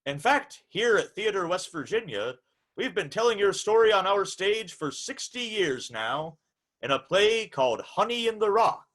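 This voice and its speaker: A man's voice: high-pitched, sing-song, normal speed, a bit bright, full character voice. A man playing a formal, polite old-time radio hour host, announcing in a theatrical, scripted showman's style. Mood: proud, explaining.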